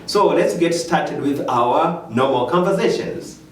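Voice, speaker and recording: A man with a Rwandan accent teaching English in a slow, careful way, switching into Kinyarwanda to explain. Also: a little high, slight background noise. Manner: slow, careful explaining, lively rising questions. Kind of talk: bilingual language lesson. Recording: echoey room, mic at a distance.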